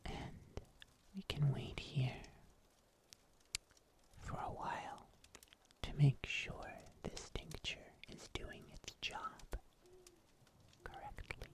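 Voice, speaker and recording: A huntsman healer of a fantasy forest speaks in a soft whisper as an ASMR performer plays the part. The delivery is extremely slow and hushed, with pitch that moves about widely. The manner is calm and intimate, as if murmuring over remedies to one patient. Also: vocal fry, heavily acted. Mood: gently chiding. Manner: unhurried, hushed, gently chiding, murmuring to self.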